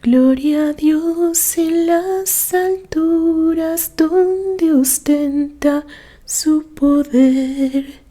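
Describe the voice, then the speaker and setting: A woman's voice: high-pitched, extremely slow, mellow, faint music behind, voice pushed up. A woman voicing a tender young mother singing an old lullaby within a narrated story.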